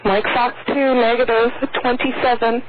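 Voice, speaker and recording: A woman's voice: a little high, little pitch movement, moderate pace, phone-quality sound. A woman giving instructions as an air traffic controller over aviation radio.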